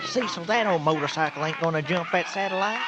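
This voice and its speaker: An actor playing an excitable, worried rural Southern man in a comic radio drama, speaking in a folksy, fretful way. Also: a little high, fast, very noisy, close to an everyday voice. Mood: pleading, worried. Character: excitable, worried rural Mississippi good old boy.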